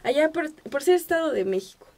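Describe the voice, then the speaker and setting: A Mexican woman with a fairly high voice chats as a podcast guest. She talks in an animated, easygoing way and slips into other people's voices when she quotes them. Her speech is casual and unscripted. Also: brisk. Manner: animated, acts out quoted remarks. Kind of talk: casual conversation.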